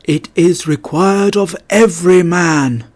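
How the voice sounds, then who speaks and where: A doomed ghost from a storybook, mournful and declamatory. Every word is stretched very slowly in a raised, wailing pitch, like a dead businessman lamenting his fate. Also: a little mellow. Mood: doomful, wailing. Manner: slow, drawn-out, wailing declamation.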